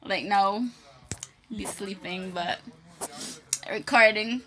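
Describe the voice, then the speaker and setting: A woman's voice: medium pitch, steady tone, takes it slowly, a bit bright, slight background noise. A woman in her thirties with a Guyanese accent, chatting casually and laughing about her faith as co-host.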